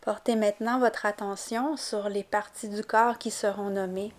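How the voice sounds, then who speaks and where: A woman guiding a yoga nidra relaxation, giving calm, gentle, unhurried instructions. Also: mid-range voice. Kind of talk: guided relaxation meditation narration.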